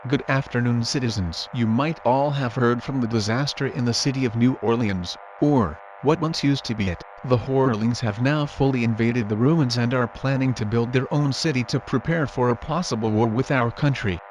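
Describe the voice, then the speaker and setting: A man's voice: medium pitch, moderate pace, hardly any pauses, darkish tone, natural voice. A man playing a solemn, resolute state governor giving a formal scripted address to citizens. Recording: noisy hum under the voice.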